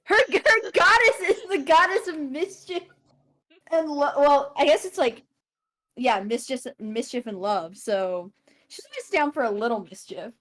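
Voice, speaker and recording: A woman's voice: sits high, expressive, measured pace, fairly bright tone. A woman chatting casually and improvising narration of her character as a tabletop game player.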